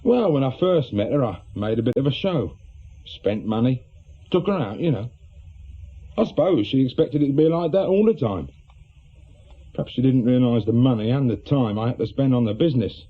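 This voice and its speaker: An actor playing a jealous, aggrieved small-time crook with an English accent, talking bluntly and colloquially in a radio drama. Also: male voice, medium pitch, lively intonation, moderate pace, husky, phone-quality sound. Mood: bitter, wounded. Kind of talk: naturalistic British radio drama dialogue.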